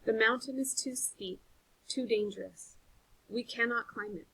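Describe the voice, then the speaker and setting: A woman's voice: on the high side, a little slow, fairly bright tone, breathy edge, exaggerated voice, low-quality recording. A woman voicing a fearful, pleading village potter in a storybook, insisting with dread.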